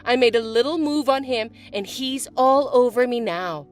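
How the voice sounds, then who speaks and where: A woman voicing a bratty, spiteful young stepsister who talks in a shrill, mocking, bossy way. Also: animated, moderate pace, soft background music, natural voice. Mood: gloating. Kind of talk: voiced text-message drama.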